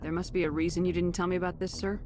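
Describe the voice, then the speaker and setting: A woman's voice: on the low side, little pitch movement, racing, noisy background, lightly acted. An actress playing a stern female military commander who gives firm, clipped orders. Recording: game audio under music.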